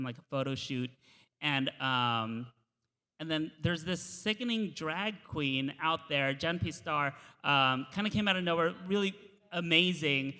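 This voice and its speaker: An earnest man drag performer reflects on a personal story at a panel. His voice is bright and forceful and sits a little high. The room adds a long hall reverb. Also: smooth. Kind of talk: panel discussion, personal anecdote. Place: university event hall.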